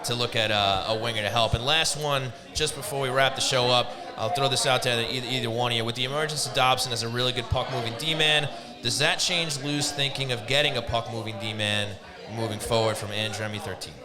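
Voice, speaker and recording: A man's voice: medium pitch, steady tone, a little fast. A man hosting a live sports talk show from a bar, addressing the audience like an emcee and handling sponsor reads. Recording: loud music behind, live bar. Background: loud music.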